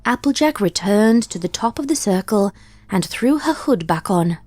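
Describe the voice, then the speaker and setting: A woman reading a dark fanfic aloud in a measured, deliberate storytelling manner. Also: medium pitch, animated. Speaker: fanfic reader. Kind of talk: dramatic story narration.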